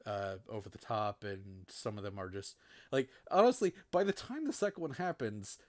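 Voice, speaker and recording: A man's voice: fairly low pitch, lively intonation, normal speed. A man hosting casual game commentary, blunt and sweary, laughing often. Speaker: host.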